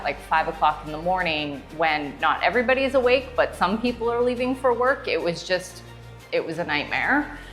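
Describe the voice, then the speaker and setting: Woman, slightly low voice, chatty customer testimonial explaining stuff, music playing behind her. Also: fairly bright tone, low-quality recording. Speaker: customer giving a testimonial, strata council member. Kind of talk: casual interview testimonial. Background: background music.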